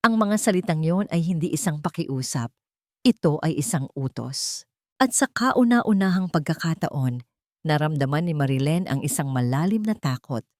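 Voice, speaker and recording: A woman narrating a sentimental drama from a script in a deliberate, emotive way. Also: sits low, a little mellow, no echo, clean studio sound. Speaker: story narrator.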